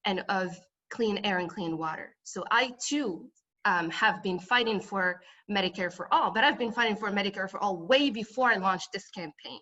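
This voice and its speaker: A woman's voice: medium pitch, moderate pace, bright, forceful tone, dull audio. A woman from Morocco speaking as a congressional candidate at a political forum, with emphatic, repetitive campaign phrasing.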